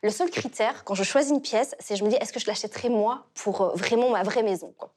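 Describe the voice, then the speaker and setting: A woman's voice: mid-range voice, fairly quick, darkish tone. A woman from Belgium, co-founder of a decor shop, answering questions casually with many hesitations. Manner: hesitant, many fillers, friendly.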